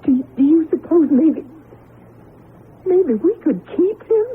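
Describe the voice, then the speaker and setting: A woman playing a middle-aged wife who longs for a child, speaking in a breathless, emotional, halting way in a radio drama. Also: sits high, very animated. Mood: pleading, insistent. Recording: muffled lo-fi radio broadcast with noise.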